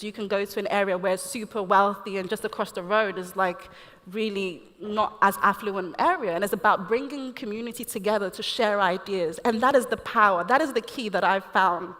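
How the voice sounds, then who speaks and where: Panelist: woman, mid pitch, earnest and passionate, hall reverb. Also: fairly bright tone. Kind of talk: panel discussion. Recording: echoey hall.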